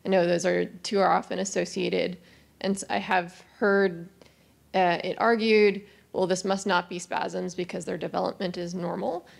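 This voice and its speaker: A woman with a strongly gravelly, fairly low voice asks a measured follow-up from the audience. She speaks precisely into a handheld mic. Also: little pitch movement. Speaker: audience member asking a question. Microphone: handheld microphone.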